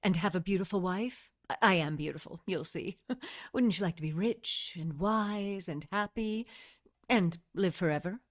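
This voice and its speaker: A woman's voice: on the low side, normal speed, lightly acted. A woman playing a seductive, commanding witch in a vintage-style radio drama, teasing and imperious, with intimate asides. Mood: commanding, coaxing.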